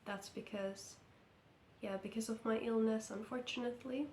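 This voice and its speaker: A woman from Finland with a Finnish accent, speaking English, sounding croaky from being sick as she talks through a knitting update slowly and carefully. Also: fairly high pitch, fairly even intonation, darkish tone, echoey room, slight background noise. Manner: slow, careful, hesitant. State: hoarse from illness. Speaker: knitting vlogger. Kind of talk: casual vlog update. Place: indoor room under lamp light.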